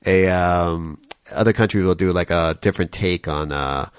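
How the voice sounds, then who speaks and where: An American man hosting a casual interview, talking in a rambling, halting way and often repeating himself. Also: low voice, clean tone, dry recording, studio quality. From United States. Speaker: show host.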